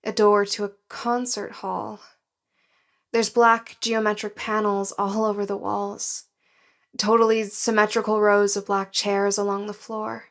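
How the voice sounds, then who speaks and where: An actress playing a frightened, awed woman explorer in an audio drama, describing her surroundings in hushed, halting phrases. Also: mid-range voice, level tone, natural voice. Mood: awed, cautious. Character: frightened woman explorer guided over radio, awed and anxious.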